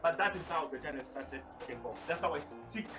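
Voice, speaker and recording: A young man with a Kenyan accent, a guest on a video call, telling his story in an animated, casual way. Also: fairly high pitch, fairly quick, distant mic, music in the background. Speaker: guest gamer YouTuber. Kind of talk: casual chat. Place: small room at home, indoors. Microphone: headset on a video call.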